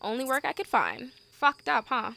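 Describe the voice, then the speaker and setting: Woman as a brash, high-voiced, animated young woman. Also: moderate pace, vocal fry, exaggerated voice. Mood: breezy, blunt. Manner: blunt, slangy, casual. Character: brash, chatty, foul-mouthed young woman hauling corpses. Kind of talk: amateur audio drama acting.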